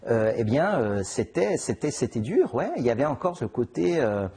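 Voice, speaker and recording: A middle-aged man from Switzerland, answering interview questions in a halting way, with many restarts and fillers. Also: medium pitch, very animated, a little mellow, slightly rough. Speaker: interviewed author. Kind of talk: conversational interview.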